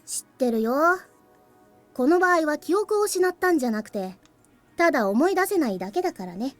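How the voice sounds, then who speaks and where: A young boy from a visual novel, high in pitch. He is aloof and composed, speaking plainly with a faint cheek. Game music plays clearly behind him. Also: big pitch swings, rapid-fire. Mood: calm, matter-of-fact. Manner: cool, matter-of-fact, slightly cheeky. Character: calm, aloof young boy, crystallized past memory of the hero. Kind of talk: Japanese visual novel voice acting.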